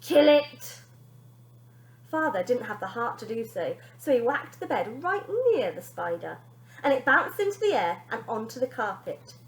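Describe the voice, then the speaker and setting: Woman teacher reading kids story out loud, high lively voice, echoey room. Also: moderate pace. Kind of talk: expressive children's storybook narration.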